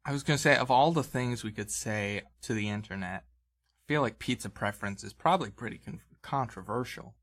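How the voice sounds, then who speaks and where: A man's voice: fairly low pitch, brisk, fairly bright tone. A man speaking informally and conversationally as a podcast host and dungeon master. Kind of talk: casual banter and game recap.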